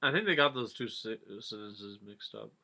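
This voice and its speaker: Guy co-host w bright voice, super up and down pitch, casual riffing. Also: lively intonation, normal speed. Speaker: co-host commenting on a reading.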